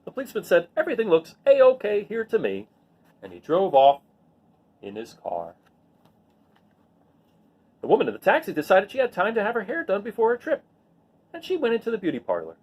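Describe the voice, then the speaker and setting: A man's voice: high-pitched, fairly quick, pauses often, slightly rough. A man reading a picture book aloud expressively, with casual asides. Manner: expressive, acts out the lines. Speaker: read-aloud storyteller.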